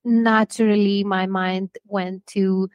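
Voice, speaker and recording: A woman, young adult, speaks English as an in a podcast interview. She talks in a measured way and stops often to find her words. Her voice sits in an ordinary middle pitch and the recording is close and dry. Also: flat delivery, no room sound, highs cut off. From Greece. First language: Greek. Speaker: author guest.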